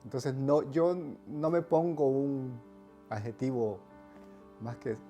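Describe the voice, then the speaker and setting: A man's voice: mid-range voice, slow pace, dark tone, soft background music. A middle-aged man, an architect, reflecting in an interview with many fillers and a touch of English. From El Salvador.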